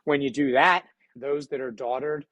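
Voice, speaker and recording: A man with a Philly accent talks as an interview guest. His voice sits at an average pitch, in a dry, close recording. He is earnest and reflective, phrasing things to motivate. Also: fairly even intonation, normal speed, a bit bright, dry recording. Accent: Philadelphia. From Philadelphia, United States. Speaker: podcast guest, entrepreneur. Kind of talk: conversational interview.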